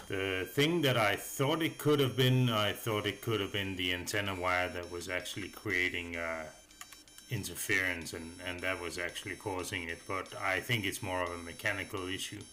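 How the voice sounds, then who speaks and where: Casting: man, deep voice, casual hobby reviewer doing voice-over, halting delivery with fillers, noticeable background noise. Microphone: voice-over microphone.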